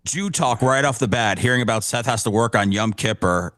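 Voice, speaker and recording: A man speaks as a radio show regular in a somewhat low voice. His delivery is casual and earnest, captured dry with no room sound. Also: brisk, fairly bright tone, dry recording. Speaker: radio show cast member.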